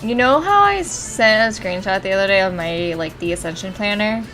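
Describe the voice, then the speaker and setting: A woman's voice: medium pitch, lively intonation, normal speed, fairly bright tone, rough recording. Female game streamer, casual conversational delivery peppered with curses, mid-range voice over prominent music. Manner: casual, frequently swearing. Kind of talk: casual chat while streaming a video game. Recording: music in the background.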